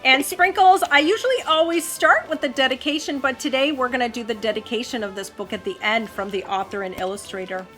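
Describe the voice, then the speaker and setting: Woman, higher pitch, children's read-aloud host, expressive delivery, casual asides to kids, background music. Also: fairly quick, few pauses. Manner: expressive, addresses child listeners with questions. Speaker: host, mother reading to her child.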